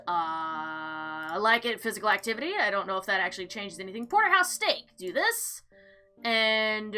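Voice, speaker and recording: A woman giving casual, chatty gameplay commentary and reading visual novel text aloud in an expressive, theatrical way. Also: mid-range voice. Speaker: game player and narrator. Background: background music.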